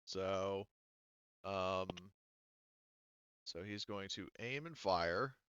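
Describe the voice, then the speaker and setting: A man acting as game master, casually running a tabletop game and thinking aloud in a halting way, on slightly dull audio. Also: deep voice, a bit bright, clean tone, no echo. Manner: halting, talks through rules while thinking aloud.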